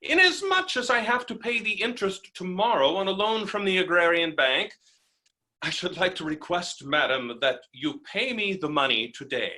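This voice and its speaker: A man playing a blustering retired artillery officer and country gentleman in a staged comedy reading, with a pompous, formal, forceful manner. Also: sits high, animated, moderate pace, heavily acted. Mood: pompous insistence.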